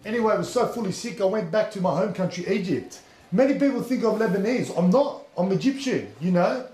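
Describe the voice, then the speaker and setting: High-pitched, cocky suburban Aussie DJ. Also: male voice, animated, a little fast. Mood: boastful. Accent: Australian. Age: young adult. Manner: slangy, boastful, bro-heavy talk. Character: cocky, hyped-up young Egyptian-Australian suburban DJ man. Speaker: comedy performer on a sports show. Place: TV studio and filmed sketch locations. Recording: loud music and crowd behind voice.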